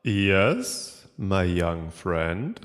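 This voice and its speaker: A man's voice: low voice, big pitch swings, heavily acted. A man playing a courteous, menacing aristocratic vampire in a gothic audio drama, speaking with cold deliberation. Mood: silky, menacing. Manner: slow, deliberate, coldly courteous. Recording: long cavernous reverb, music underneath.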